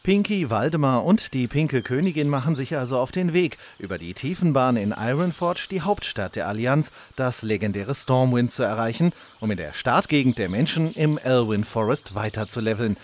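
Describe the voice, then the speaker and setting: A man's voice: medium pitch, lively intonation, normal speed, hardly any pauses, natural voice. A man playing the storyteller, giving scripted narration for a comedic fantasy radio play. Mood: mock-solemn. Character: male storyteller narrator of mock fantasy soap.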